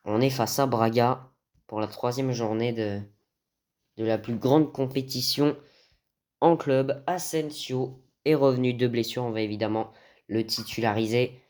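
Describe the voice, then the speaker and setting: Male gaming host, medium pitch, hesitant football game commentary with frequent mid-phrase pauses. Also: darkish tone.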